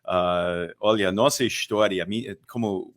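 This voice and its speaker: Low-voiced Texan man speaking Portuguese. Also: fairly even intonation. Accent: Texan American. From Texas, USA. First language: English. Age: middle-aged. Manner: halting, many fillers, laughs easily. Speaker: guest, fund manager. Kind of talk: casual podcast chat.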